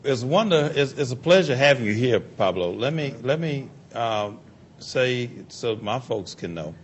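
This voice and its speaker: A man's voice: medium pitch, very animated, unhurried, a little hiss or hum. A middle-aged man hosts a local TV interview, folksy and affirming, often repeating himself. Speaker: local TV show host. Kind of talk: public access interview.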